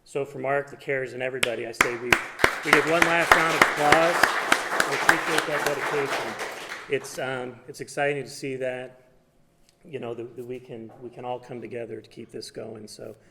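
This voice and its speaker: A man from a food pantry giving an unplanned thank-you speech, halting and restarting as he goes. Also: medium pitch, steady tone, creaky, a loud crowd, very noisy. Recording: reverberant hall.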